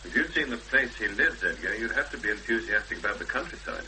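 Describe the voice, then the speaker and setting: A man's voice: on the low side, animated, fast, sharp tone. An actor in a 1970s radio drama playing an engaged, questioning man. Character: Derek, Emma's engaged, questioning young fiance. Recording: noisy hummy 1970s radio broadcast recording.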